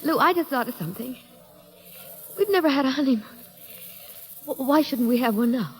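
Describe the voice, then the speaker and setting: A fond, teasing young wife with a fairly high voice in an old radio play. Soft music drifts under her lines. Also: lively intonation, fairly quick. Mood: tender. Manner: affectionate, teasing. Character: loving devoted young wife, teasing and tender. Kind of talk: 1940s radio acting.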